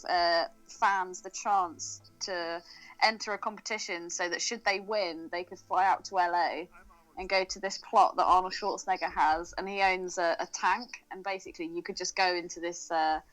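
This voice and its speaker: A woman's voice: medium pitch, fairly bright tone, low-quality recording. A woman with a British accent co-hosting a podcast, chatting casually about films with jokey, sweary banter. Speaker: podcast co-host.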